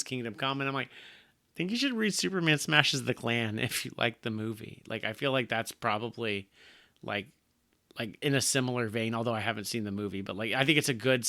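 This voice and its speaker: A man co-hosting a podcast, sharing his opinion of a comic with eager, halting restarts. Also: medium pitch, pushed and bright. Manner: enthusiastic, hesitant with many restarts. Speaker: podcast co-host. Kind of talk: casual podcast chat.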